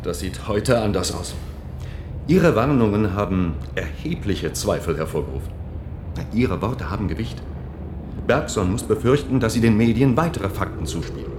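An actor playing a protective, earnest helper in the underground in a German science-fiction radio drama, speaking with solicitous urgency. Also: male voice, fairly low pitch, lively intonation, normal speed, background noise, lightly acted. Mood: grave, concerned.

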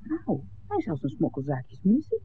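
An actor playing a frank young villager from a smuggling family, talking informally in a 1960s radio play. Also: male voice, a little high, huge pitch swings, normal speed. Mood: candid, wry. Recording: tinny old radio recording with noise.

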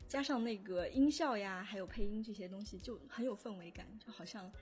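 A woman's voice: mid-range voice, a little fast, darkish tone, faint music behind. A woman chatting casually about creative work, as a composer on an audio drama team. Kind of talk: casual chat.

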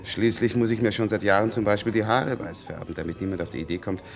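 Weary, gravelly old scientist. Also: male voice, lowish voice, fairly even intonation, fast talker, soft background music. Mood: resigned, wry. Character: weary, very old scientist with a longevity-treated middle-aged body. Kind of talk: German radio play acting.

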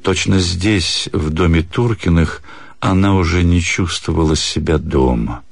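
A man narrating a literary story for radio in a measured, pensive manner. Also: sits low, gravelly, no room sound.

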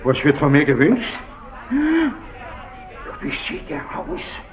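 A man's voice: fairly high pitch, wildly swooping pitch, slow, crowd noise, background noise, full character voice. An actor playing a haughty, affronted wealthy father-in-law in a theatrical German radio play. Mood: affronted, indignant. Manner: indignant, haughty.

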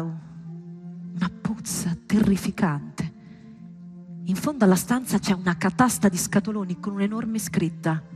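Woman's dramatic monologue over music. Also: mid-range voice. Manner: dramatic, deliberate pauses and emphatic delivery. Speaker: stage performer. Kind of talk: theatrical monologue storytelling, scripted narration.

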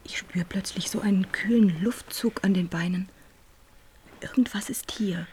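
A woman playing a sensitive teenage daughter in a radio drama, hushed and often breathy. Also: medium pitch, a little slow, a little hiss or hum, close to an everyday voice. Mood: uneasy, hushed. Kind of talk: German radio drama acting.

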